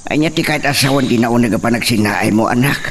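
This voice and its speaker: A man's voice: medium pitch, fast, close to an everyday voice. Weary old father, played by a man. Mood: worried, resigned. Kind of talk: radio drama acting. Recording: distant voice, faint background noise.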